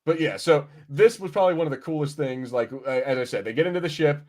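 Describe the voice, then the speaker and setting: A man's voice: a little high, quick pace, a little mellow. Rambling man chatting on a podcast. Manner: rambling, acts out imagined lines. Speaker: podcast host. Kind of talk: casual review chat.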